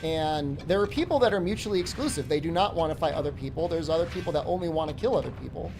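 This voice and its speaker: A man's voice: on the high side, quick pace, background noise. A man in his forties chatting to his live-stream viewers while gaming, joking around and breaking into profane, mock-angry rants. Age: 40s. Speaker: game streamer.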